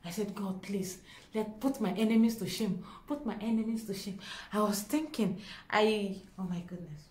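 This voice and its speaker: A young woman with a Nigerian accent tells a story to camera in a dramatic, expressive way, acting out dialogue as she goes. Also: mid-range voice, moderate pace. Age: young adult. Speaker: vlogger. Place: small indoor room at home. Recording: echoey small room.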